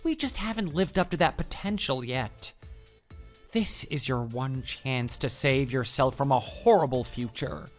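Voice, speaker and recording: A man acts out a deluded, lovesick office coworker in an online radio drama. He declares himself with grandiose, florid earnestness and pushes on regardless, in a mid-pitched voice with music playing behind him. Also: expressive, measured pace, lightly acted. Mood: grandiose pleading.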